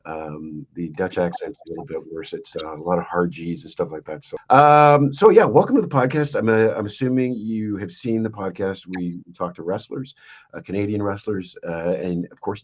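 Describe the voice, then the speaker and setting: A man's voice: lowish voice, brisk, husky. A man hosting a podcast interview, talking in a hesitant way with many fillers and self-corrections. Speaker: podcast host. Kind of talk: interview, reading an introduction.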